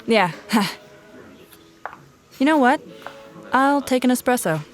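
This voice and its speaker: An actress playing a guarded, sardonic detective in her thirties, dry and deadpan in naturalistic audio drama dialogue. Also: sits high, slow pace, soft background music. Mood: dry, friendly.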